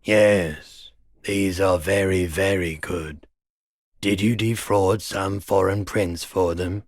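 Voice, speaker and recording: A mellow, philosophical pawnbroker for a video game. His voice is very low and he talks very slowly, with a languid, cryptic, unruffled manner. The recording is close and very dry. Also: fairly even intonation, no echo, heavily acted. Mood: calm, businesslike. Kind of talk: scripted game dialogue.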